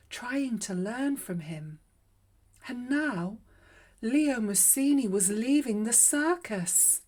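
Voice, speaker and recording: Slow, breathy woman reading a storybook. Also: medium pitch. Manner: measured, expressive read-aloud. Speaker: children's story reader. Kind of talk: scripted storybook narration.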